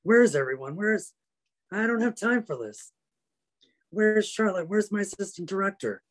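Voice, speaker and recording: A man's voice: high-pitched, very animated, moderate pace, low-quality recording. A man playing an impatient, short-tempered theater director, curt and sighing, on a webcam.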